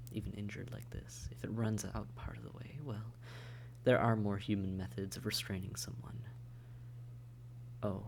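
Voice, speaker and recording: A man playing a taunting, predatory vampire in a roleplay audio drama, with mock-tender menace and breathy, coaxing speech. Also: fairly low pitch, a little hiss or hum. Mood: menacing, hypnotic. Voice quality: breathy, often whispered, creaky. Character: silky, taunting, predatory vampire toying with captive hunter. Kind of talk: immersive roleplay audio drama, often whispered.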